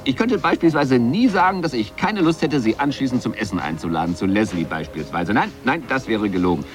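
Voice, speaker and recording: An actor in a radio drama playing a flirtatious, evasive comedian who rambles with playful irony. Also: male voice, medium pitch, lively intonation, fairly quick, natural voice. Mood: flirtatious, joking. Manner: playful, rambling, ironic, stop-and-start hesitations. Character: glib male comedian, flirtatious, wisecracking, evasive under questioning. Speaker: comedian being interviewed. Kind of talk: 1980s German radio drama acting. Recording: music behind the voice.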